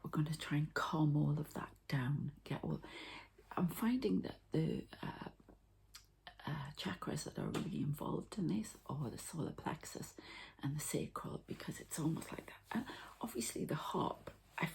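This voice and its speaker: A woman hosting a whispered ASMR healing session, speaking in a soft, breathy, hesitant and meandering way. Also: deep voice, sing-song, normal speed. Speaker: host, spiritual healer.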